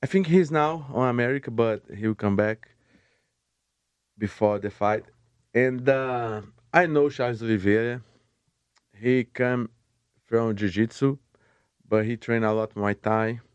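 A young man with a Brazilian Portuguese accent, a podcast guest speaking halting English and often restarting words. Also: medium pitch, smooth, dry recording, highs cut off. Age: young adult. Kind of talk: casual interview chat.

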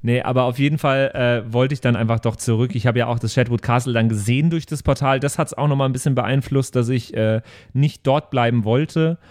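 A man with a medium-pitched voice talks casually among friends as a podcast player. He rambles reflectively through a recap, feeling his way from thought to thought. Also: steady tone, brisk, darkish tone. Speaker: podcast player recapping his tabletop role-play character.

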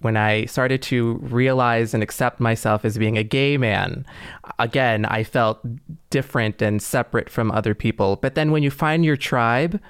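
A man's voice: mid-range voice, clean tone, dry recording. American man co-hosting, dry close sound. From suburban Detroit, United States. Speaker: podcast co-host. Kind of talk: casual podcast conversation.

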